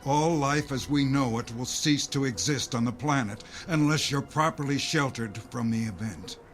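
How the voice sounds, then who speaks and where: An actor playing an earnest, secretive doomsday believer who speaks gravely and insistently in a dramatic audio play. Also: male voice, medium pitch, normal speed, natural voice.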